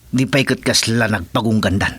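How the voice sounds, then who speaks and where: A man's voice: on the low side, brisk, fairly bright tone, husky, no room sound. A Filipino man playing a brash, impatient sidekick in a radio drama, curt and teasing.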